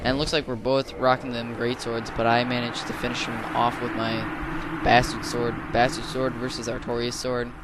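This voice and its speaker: A young man, slightly hoarse, giving rambling, casual commentary over his own gameplay as a channel host. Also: medium pitch, completely flat delivery, moderate pace, very noisy. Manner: chatty, rambling, with fillers and restarts.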